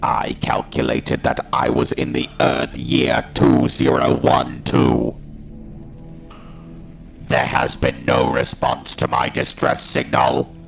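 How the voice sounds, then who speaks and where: A ruthless alien war machine for an audio drama. The voice is extremely deep and slow, ground into heavy gravel and filtered like a robot. It declaims in menacing staccato, defiant as a tortured soldier. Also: male voice, very animated, faint music behind, full character voice. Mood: cold, defiant. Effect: robotic voice modulation.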